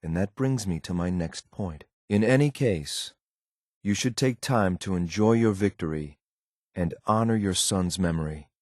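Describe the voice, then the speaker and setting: An actor playing a stern, loyal synthetic agent in a video game, speaking in a clipped, formal, deadpan military way. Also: male voice, low voice, measured pace, no room sound, studio quality. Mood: measured, resolute. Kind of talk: scripted game character lines.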